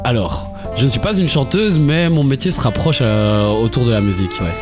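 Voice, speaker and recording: A man's voice: medium pitch, expressive, brisk, lightly acted. A male radio co-host playing a coy female violinist, answering questions in a playful, teasing way, with music under the voice.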